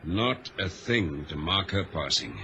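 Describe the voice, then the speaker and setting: An actor playing a stern, resolute ship's captain in a radio drama, clipped and commanding, on a noisy vintage recording. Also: male voice, low-pitched, very animated, measured pace, sharp tone, dull audio, exaggerated voice. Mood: grim resolve.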